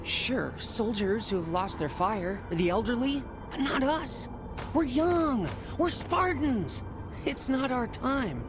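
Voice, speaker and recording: A man's voice: very high-pitched, sing-song, slow pace, heavily acted. A young man playing an eager, brave Spartan youth, the hopeful younger brother, in a video game. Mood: defiant, hopeful. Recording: game music behind the voice.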